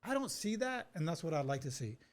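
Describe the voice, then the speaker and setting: A man hosting a casual interview in a voice that sits somewhat high. He speaks frankly and states his opinions outright, with some casual swearing. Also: very animated, brisk. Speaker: podcast host.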